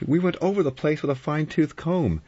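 A man's voice: fairly high pitch, fairly quick, warm tone, slightly rough, dull audio, voice pushed up. A man voicing an anxious young woman in an audiobook, with a pleading, urgent manner. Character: anxious young Englishwoman, frightened and insistent. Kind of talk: audiobook character acting.

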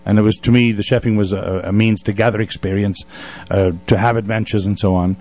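A man's voice: fairly low pitch, fairly even intonation, fairly quick, darkish tone. A man hosting a casual radio interview, chatting in a wordy, effusive storyteller's way. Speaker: radio show host. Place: radio studio.

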